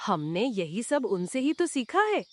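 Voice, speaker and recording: A woman voicing a devoted village wife and mother who talks gently and caringly in a cartoon story. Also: a little high, big pitch swings, measured pace, slight background noise. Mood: gentle. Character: worried devoted young wife and mother, cook.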